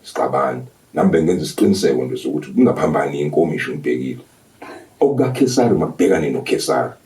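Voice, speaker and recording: An actor with a South African accent playing a gruff man in a radio drama. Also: rumbling low, animated, racing, warm tone, far from the mic. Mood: firm.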